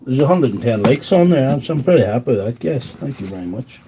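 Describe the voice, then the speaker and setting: A man's voice: medium pitch, animated, quick pace, background noise. A man with a wheezy voice, chatting loosely to livestream viewers while he repairs tools in his workshop. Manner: rambling, chatty, talks to viewers while working. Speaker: tool repair livestream host. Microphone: mic on a stand close by.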